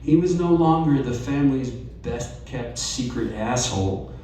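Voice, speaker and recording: A man reading a personal essay aloud at a live storytelling night, measured and wry. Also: mid-range voice, lively intonation, warm tone, recorded from a distance, a little hiss or hum. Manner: slow, measured delivery with wry asides. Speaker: reader at a live storytelling event. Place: bar or event venue, live audience. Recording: very echoey room, voice off-mic, faint ambience.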